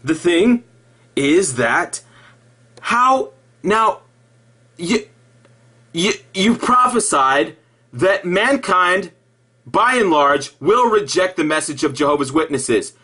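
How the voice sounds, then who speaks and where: A man delivering an improvised sarcastic rant in an exasperated, mocking way, halting and repeating himself, with profanity. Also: sits high, lively intonation, pushed and bright, husky. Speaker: video commentator.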